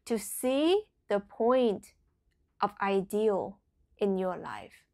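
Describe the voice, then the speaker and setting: A woman leading a guided meditation in a soothing, deliberate way, letting phrases trail into a breathy whisper. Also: medium pitch, animated, darkish tone.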